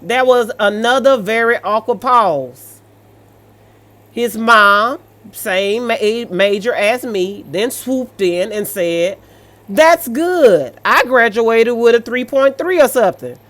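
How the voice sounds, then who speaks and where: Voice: medium pitch, expressive, takes it slowly, fairly bright tone. Sassy American commentator, blunt and colloquial. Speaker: host reading and reacting to online stories. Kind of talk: reading stories aloud with animated off-the-cuff commentary.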